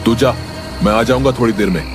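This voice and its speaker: A man voicing a greedy young brother with an emphatic, dramatic manner in an animated moral story. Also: medium pitch, fast talker, over music, close to an everyday voice. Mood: irritated, stubborn. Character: greedy, irritable young brother, easily frightened.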